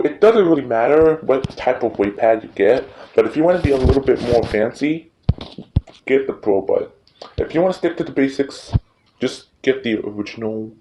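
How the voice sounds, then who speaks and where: A man hosting a casual tutorial, speaking informally and haltingly, often repeating himself. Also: mid-range voice, mellow. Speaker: tutorial host. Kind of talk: casual screen-recording tutorial. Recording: noisy recording with clicks.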